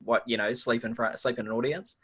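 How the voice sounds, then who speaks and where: A man's voice: medium pitch, steady tone, quick pace, fairly bright tone, slightly rough. A man in his forties with a New Zealand accent, chatting in an easygoing, anecdotal way as an interview guest. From Auckland, New Zealand. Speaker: podcast guest, nutrition researcher. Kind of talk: casual chat, anecdotal.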